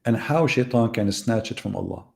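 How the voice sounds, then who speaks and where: Gravelly, mocking man on a live debate. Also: on the low side, normal speed, darkish tone. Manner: mocking, sarcastic rhetorical questions. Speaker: debate host. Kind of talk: live online debate monologue.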